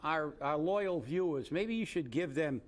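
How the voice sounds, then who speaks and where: Fired-up guy co-hosting a local politics show, kinda high voice, rushing and sarcastic. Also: lively intonation. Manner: hurried, indignant, sarcastic. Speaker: co-host.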